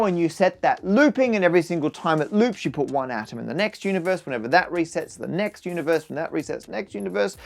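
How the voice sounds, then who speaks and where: An enthusiastic man presents maths to his viewers in a fairly high male voice. He is emphatic and animated, slipping in wry asides as he explains. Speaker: maths video host.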